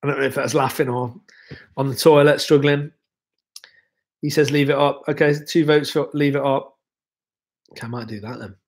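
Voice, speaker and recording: A young man hosts a relaxed livestream, rambling from one viewer comment to the next with plenty of ums and restarts. He is still ill and his voice is wearing thin. His pitch sits in an ordinary middle range. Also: normal speed, long pauses. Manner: relaxed, rambling, chatty with many fillers. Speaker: livestream host. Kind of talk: casual livestream chat reading viewer comments.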